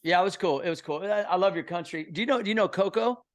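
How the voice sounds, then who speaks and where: A middle-aged man from Kansas City hosting a podcast in a casual, excitable way, full of slang. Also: high voice, fast talker. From Kansas City, USA. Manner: excitable, slangy, says "bro" and "dude" a lot. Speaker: podcast host. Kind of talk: casual interview chat.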